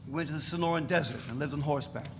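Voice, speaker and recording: A man's voice: fairly high pitch, racing, lightly acted. A man playing a brash, wry ex-radical who recounts wild exploits emphatically in a live dramatic reading of a novel. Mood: defiant, emphatic. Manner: emphatic storytelling, piling up lists. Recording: echoey hall, hissy live recording.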